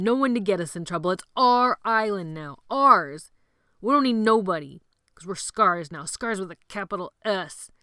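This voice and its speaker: A woman voicing a brash, bossy teenage boy who leads a gang of boys, loud and rallying in an audiobook. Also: medium pitch, no room sound, clean studio sound. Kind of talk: audiobook character voice.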